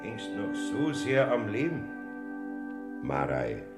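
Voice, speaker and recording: An actor playing Saint Peter as a fussy but kindly older heavenly gatekeeper, with a Bavarian accent, in a classic radio play. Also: male voice, lowish voice, wildly swooping pitch, slow, over music, exaggerated voice. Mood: soothing. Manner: soothing, turns flustered and bossy.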